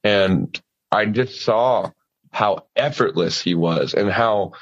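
Low-voiced man with earnest, halting talk. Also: highs cut off. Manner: earnest, halting with restarts, gives practical advice. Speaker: singer, guest. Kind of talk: casual conversation.

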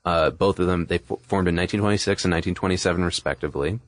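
Man, deep register, podcast co-host, casual history explainer, informal phrasing, dry sound. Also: very fast, dry recording.

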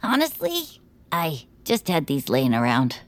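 A woman's voice: low-pitched, huge pitch swings, a little slow, noisy background, exaggerated voice. A woman voicing an upbeat, laid-back kid sidekick with a breezy manner in a comic audio drama for children.

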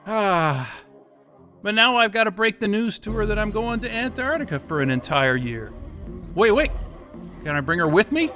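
High, tense, pliant male captive. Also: sing-song, normal speed, bright, forceful tone, faint music behind, heavily acted. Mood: elated. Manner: over-eager, suggestible. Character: brainwashed male captive, pliant, eager and dazed. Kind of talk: audio drama acting.

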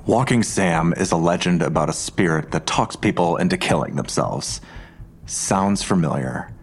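An actor playing a menacing, suspicious police detective in an audio drama, pressing with pointed, accusatory questions. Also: male voice, low-pitched, moderate pace, slightly rough. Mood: cold, probing.

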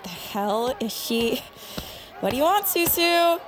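A brusque teenage girl voiced by a woman with a fairly high, animated voice. She is curt and hostile, in naturalistic audio-drama style. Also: takes it slowly, full character voice. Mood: irritated. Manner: curt, dismissive.

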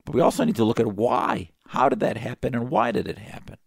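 A man's voice: medium pitch, sing-song, a little fast, gravelly, breathy edge, no echo, clean studio sound. A man giving opinionated talk-show commentary in an emphatic, sarcastic, combative manner. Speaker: political commentator.

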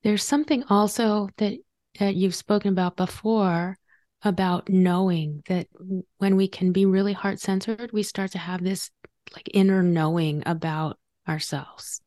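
A woman's voice: medium pitch, steady tone, unhurried, dark tone, dry recording, clean studio sound. A woman hosting a podcast, asking questions with enthusiasm. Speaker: podcast host.

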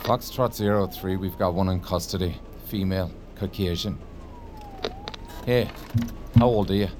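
Man acting low-voiced, distrustful cop. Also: normal speed, close to an everyday voice. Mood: stern, suspicious. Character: gruff, distrustful police officer making an arrest. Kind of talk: immersive audio drama acting. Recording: noisy car ambience.

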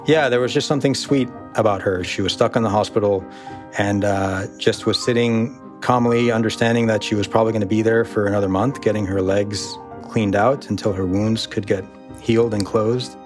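An American man telling personal stories as an interview guest, in a reflective, halting way. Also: lowish voice, steady tone, music in the background. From United States.

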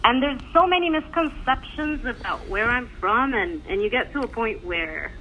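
A woman's voice: a little high, expressive, sharp tone, slight background noise. A woman from Egypt, a tennis journalist answering interview questions in an earnest, fluent way. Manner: earnest, fluent, quick to explain. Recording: dull phone-line audio.

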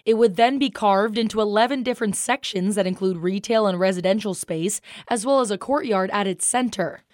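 A woman's voice: medium pitch, brisk, a little mellow, dry recording. Female American newscast anchor, mid-range voice, scripted delivery, very dry room. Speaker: news anchor.

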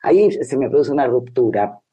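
A woman's voice: very deep voice, animated, a little fast, warm tone, slightly rough, lo-fi sound. A woman in her fifties with a Rioplatense Spanish accent, talking reflectively and expressively as a novelist being interviewed, repeating phrases for emphasis. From grew up in Brazil. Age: 50s. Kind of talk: literary interview, reflective conversation.

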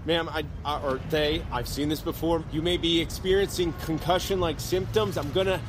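A man's voice: on the high side, steady tone, normal speed, background noise, close to an everyday voice. An actor playing a goofy, clueless American man of thirty, flippant and blithely dim in comedic audio drama dialogue. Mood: flustered. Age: 30s. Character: goofy, clueless thirty-year-old slacker best friend.

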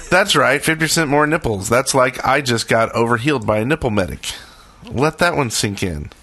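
A man's voice: mid-range voice, animated, moderate pace, sharp tone. A man co-hosting a podcast, chatting casually with crude, mock-boastful jokes. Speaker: podcast co-host. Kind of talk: joking casual chat.

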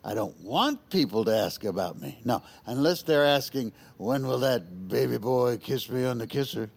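A man's voice: medium pitch, animated, moderate pace, creaky, husky. An actor playing a drunk, self-pitying man who rambles with a slur in an audio comedy drama. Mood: drunk, wailing. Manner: slurred, rambling drunken delivery.

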